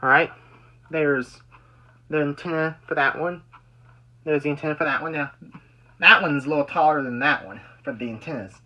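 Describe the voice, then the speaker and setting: A bright, forward voice with a medium pitch talks through a hobby demonstration. The delivery is casual and rambling, circling back to repeat and restart phrases. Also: normal speed, long pauses, highs cut off. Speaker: amateur video maker demonstrating weather radios. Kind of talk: casual hobby show-and-tell.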